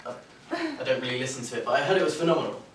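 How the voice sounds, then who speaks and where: Brisk male boss, played by a stage actor: authoritative, rapid delivery, roomy recording with faint noise. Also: mid-range voice, huge pitch swings, fairly bright tone. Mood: approving. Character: brisk, clueless, commanding male radio station boss. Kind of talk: live stage play acting. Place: indoor theater stage, picked up from afar. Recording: echoey stage, distant mic, faint noise.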